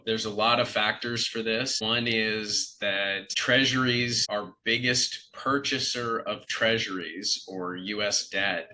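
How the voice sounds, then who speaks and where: A man with a nasal, congested voice from a cold, giving a measured market update in a live webinar, often restarting phrases. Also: low voice, bright, forceful tone, slight background noise, poor audio. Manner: measured, explanatory, with frequent restarts. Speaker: financial advisor.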